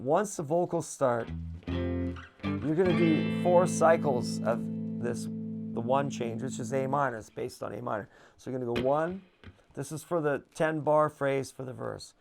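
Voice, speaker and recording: A man with a low voice giving a casual guitar lesson, relaxed and chatty, with plenty of fillers. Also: measured pace, vocal fry. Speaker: guitar teacher.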